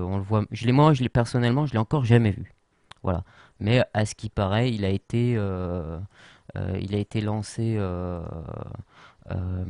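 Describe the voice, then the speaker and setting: Hesitant low-voiced man. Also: darkish tone, dry recording, clean studio sound. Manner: hesitant, many fillers and restarts. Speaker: tutorial presenter. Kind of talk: unscripted screen tutorial.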